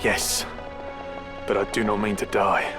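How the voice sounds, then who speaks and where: An actor playing a defiant rebel commander in a fantasy game, dramatic and archaic, with music behind. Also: male voice, on the low side, flat delivery, unhurried, lightly acted. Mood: defiant, scornful. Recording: game clip under loud music.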